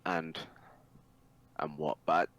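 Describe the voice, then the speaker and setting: A man's voice: rumbling low, level tone, drawn-out words, a bit bright, highs cut off. A man talking casually and emphatically on a podcast as an opinionated, sweary anime fan. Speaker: podcast guest or co-host.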